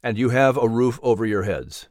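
A man voicing a calm, reassuring reverend with a lower, measured delivery in a storybook reading. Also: no echo, clean studio sound, close to an everyday voice.

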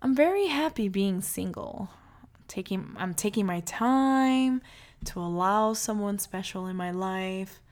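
A woman in her late thirties from Brazil, calmly telling her life story in a reflective monologue. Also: mid-range voice, measured pace. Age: 30s.

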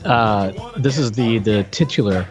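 A man's voice: lowish voice, unhurried, over music. A man co-hosting a podcast, chatting casually and jokingly in a conversational way. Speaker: podcast co-host. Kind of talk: casual chat reviewing old games.

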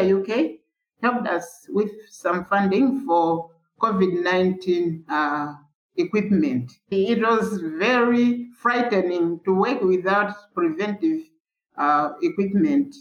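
A woman nurse from Malawi, recalling hard times in an earnest, halting interview with many fillers and restarts. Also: medium pitch. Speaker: palliative care nurse, interviewee. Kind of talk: candid interview recollection. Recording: echoey, dull remote recording.

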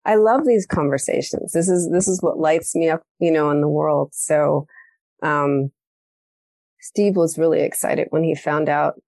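A woman hosting a podcast, telling a story in a casual, animated way and acting out the quoted lines. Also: sits low, unhurried, no room sound. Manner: animated storytelling, acts out quoted dialogue, laughs often. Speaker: podcast host. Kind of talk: casual conversational interview.

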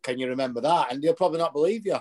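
A man, chatting as a podcast guest in a rambling, animated way. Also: a little high, very fast. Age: 30s. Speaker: guest, boxing coach. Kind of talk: casual podcast chat.

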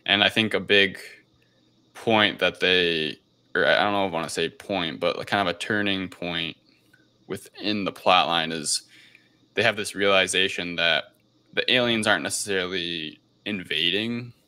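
A man with a very deep voice that still comes across bright and forceful. He talks as a podcast host in casual discussion, hedging and rambling loosely as he recaps a story. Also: moderate pace, clear, resonant tone.

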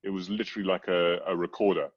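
A middle-aged man telling his own story as an interview guest, halting and often repeating words as he goes. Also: little pitch movement, no echo. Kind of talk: personal storytelling in conversation. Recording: dull, muffled low-fidelity audio.

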